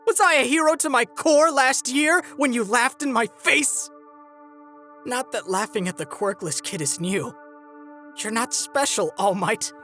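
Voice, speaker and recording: Girl doing bitter teen villain boy for a comic dub, high sharp voice, sarcastic and intense. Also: lively intonation, a little slow, soft background music. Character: bitter, scornful teenage boy turned villain. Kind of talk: fan comic dub voice acting.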